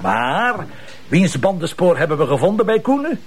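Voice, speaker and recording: Bossy Flemish police commissioner, fairly high voice. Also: male voice, very animated, moderate pace, breathy edge, full character voice. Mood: firm, explanatory. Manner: commanding, teasing, lecturing. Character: bossy, sardonic male police commissioner leading his team. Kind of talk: naturalistic TV crime drama acting.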